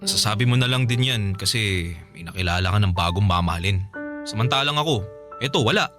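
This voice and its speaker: Radio drama ex, played by a man: young male, desperate and lovesick, rapid, emotional pleading over soft music. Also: fairly low pitch, lively intonation, natural voice. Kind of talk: Filipino radio drama acting.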